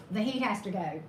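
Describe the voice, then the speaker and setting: A woman tarot reader, slightly hoarse, chatting casually with live-stream viewers and greeting them by name. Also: medium pitch. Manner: chatty, greets viewers by name, laughs at own corny jokes. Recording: echoey room with steady background noise.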